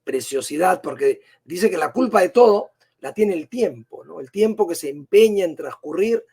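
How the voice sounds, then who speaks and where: A man with a husky voice, hosting a conversational radio interview. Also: high-pitched, lively intonation, normal speed, a little mellow.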